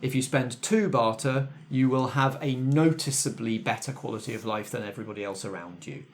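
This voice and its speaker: A man with a mid-pitched voice acts as game master for a tabletop game. He explains the rules to the players in a conversational, informal way. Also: fairly quick, slight background noise.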